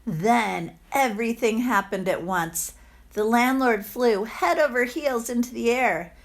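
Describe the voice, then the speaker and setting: Female storybook reader, teacherly and expressive, slightly high voice, very measured pace. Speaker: teacher reading aloud to children.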